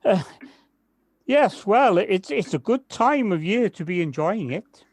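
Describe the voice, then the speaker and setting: A man's voice: sits high, animated, unhurried, no echo, full character voice. Hesitant, lilting village gardener man, acted. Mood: polite, flustered. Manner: hesitant, polite, stammering slightly. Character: kindly, hesitant village gardener, Alan, modest and nature-loving. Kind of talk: amateur audio drama acting.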